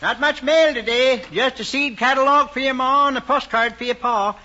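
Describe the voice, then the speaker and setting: Nosy southern mail carrier, old movie, really high voice, folksy, dull noisy audio. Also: male voice, moderate pace, sharp tone, full character voice. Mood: friendly, curious. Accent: rural Southern American. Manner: chatty, folksy. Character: friendly, chatty, nosy rural mailman. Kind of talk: vintage rural screen drama acting. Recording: muffled old film soundtrack, clear noise.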